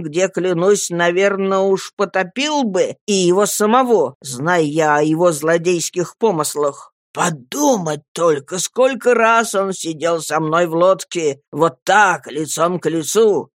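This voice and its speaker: A narrator voicing a grieving old fisherman uncle in an audiobook, heavy and slow, given to fierce outcries. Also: mid-range voice, no room sound, studio quality, close to an everyday voice. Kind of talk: audiobook character acting.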